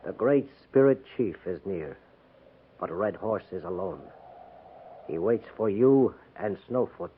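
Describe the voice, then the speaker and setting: Low, solemn tribesman. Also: animated, unhurried. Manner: formal, stately phrasing. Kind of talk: 1950s radio drama acting. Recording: tinny, slightly noisy 1950s radio recording.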